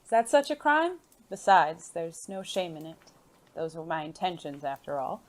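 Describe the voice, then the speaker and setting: An actor playing a suave, genteel gentleman secret agent in an audio drama, with formal, refined phrasing and a playful, flirtatious, teasing manner. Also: mid-range voice, sing-song, moderate pace.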